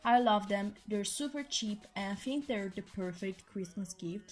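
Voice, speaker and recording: A young woman with a hoarse, strained voice talks through a makeup tutorial in a chatty, enthusiastic voiceover. Her pitch sits in a middle range, and soft music plays faintly behind her. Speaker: beauty vlogger. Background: soft background music.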